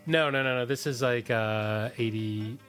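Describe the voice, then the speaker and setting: A man's voice: mid-range voice, fairly even intonation, measured pace, a bit bright, soft background music. A middle-aged American man chatting casually as an interview guest, in a loose, hesitant, wandering way. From Washington, D.C., United States. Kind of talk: casual chat. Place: radio studio.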